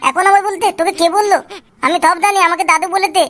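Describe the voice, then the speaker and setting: A woman's voice: very high-pitched, fairly even intonation, fast talker, music in the background. A woman voicing a whiny, stubborn little boy in a comic cartoon, insistent and repetitive. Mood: accusing. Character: nagging, stubborn little boy demanding a soft drink. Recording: clear background noise.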